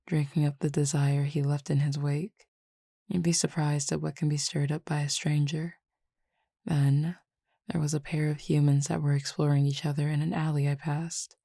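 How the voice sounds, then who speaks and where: A woman voicing a calm cupid roommate who feeds on desire, in an audio roleplay spoken to the listener, formal, measured and quietly teasing. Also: deep voice, dry recording, clean studio sound.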